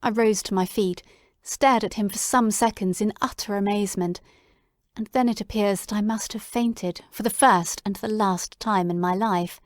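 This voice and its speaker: A woman giving steady first-person narration for a dramatic audiobook reading. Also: mid-range voice, moderate pace, no echo, clean studio sound.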